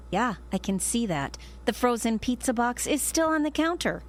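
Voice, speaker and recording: A woman's voice: a little high, lively intonation, moderate pace, close to an everyday voice. An American woman acting in a radio drama as a bullying, belittling wife with a sarcastic, needling manner. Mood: scornful.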